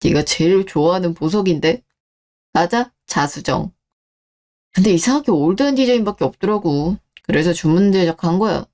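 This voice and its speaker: A woman playing a devoted, plain-spoken young boyfriend in a radio drama, with a gentle, matter-of-fact manner. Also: lowish voice, expressive, moderate pace, pitched below the performer's own voice. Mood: earnest, teasing. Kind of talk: Korean radio drama dialogue.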